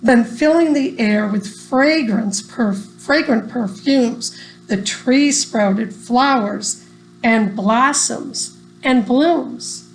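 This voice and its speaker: A woman reading a rhyming children's story aloud in an expressive, deliberate storytelling manner. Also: a little high, vocal fry, a little hiss or hum, low-quality recording. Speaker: storybook reader.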